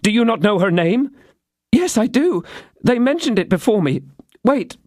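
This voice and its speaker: A frail young woman, breathless and pleading, her voice lifted high and thin, as a male audiobook reader puts her on. Her speech rises and falls sharply. The recording is close and dry. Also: big pitch swings, moderate pace, a little mellow, dry recording.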